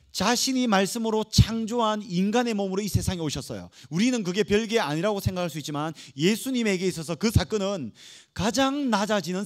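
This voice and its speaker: A male pastor delivers a sermon in a notably high voice for a man. He is emphatic and dramatic, stressing key phrases and drawing them out. He keeps turning to the congregation with rhetorical questions. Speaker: pastor preaching to young adults. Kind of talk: Protestant church sermon.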